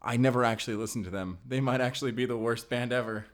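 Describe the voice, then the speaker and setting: A man's voice: mid-range voice, steady tone, fast talker, natural voice. A man playing a young, sheltered and earnest vampire slayer, in a cinematic audio roleplay, speaking in an intimate, conversational way. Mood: wistful, playful.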